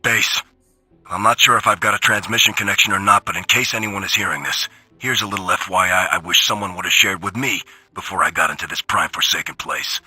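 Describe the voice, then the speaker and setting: An actor playing a grumbling, sardonic robot soldier in a video game log, with a gruff, complaining way of talking. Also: male voice, deep voice, fairly quick, sharp tone, no echo, heavily acted, poor audio. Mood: wry reporting. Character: grumbling, sardonic male robot soldier giving war reports.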